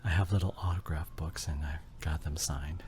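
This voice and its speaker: A man playing an injured, fading husband and father in an emotional ASMR audio drama, tender and intimate, with a breathy voice that often drops toward a whisper. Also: very deep voice, fairly even intonation, moderate pace, a little mellow, slight background noise. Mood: weak, wistful. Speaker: audio roleplay voice actor.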